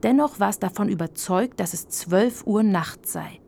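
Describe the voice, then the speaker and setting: A woman's voice: mid-range voice, normal speed, creaky, a little mellow. A woman narrating a children's radio play in a scripted storybook style.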